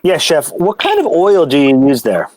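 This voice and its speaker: A man's voice: on the high side, wildly swooping pitch, moderate pace, darkish tone. A man acting as interviewer, reading out questions to a chef. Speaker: off-camera interviewer.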